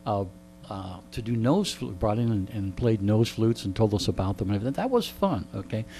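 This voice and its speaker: A man's voice: fairly low pitch, animated, brisk, creaky, mellow. A man being interviewed in a radio studio, telling stories in a casual, joking, rambling way. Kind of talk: casual anecdotal storytelling. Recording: faint mains hum.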